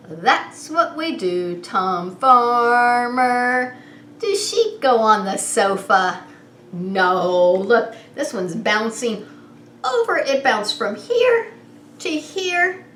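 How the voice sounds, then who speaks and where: A woman hosting story time as a preschool teacher, reading in a sing-song, animated way and coaxing small children with questions. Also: on the high side. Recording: roomy echo with some background noise.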